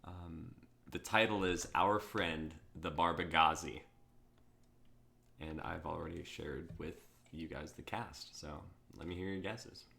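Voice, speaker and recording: A man's voice: deep voice, fairly even intonation, a little slow, sharp tone. A man chatting casually and reading script narration on an online stream. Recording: clearly noisy mic with keyboard clicks.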